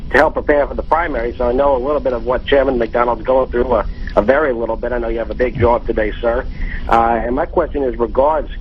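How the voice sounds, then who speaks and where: Male caller, courteous and measured, muffled phone audio, slight hiss. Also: mid-range voice, fairly even intonation. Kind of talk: prank call posing as a serious question. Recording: dull phone line with faint noise.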